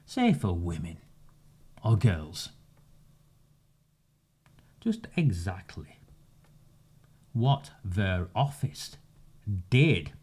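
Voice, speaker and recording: A man, delivering a measured, sarcastic campaigning monologue with emphatic pauses. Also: low-pitched, sing-song, darkish tone, slightly rough. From northern England. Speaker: community campaigner. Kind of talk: direct-to-camera monologue.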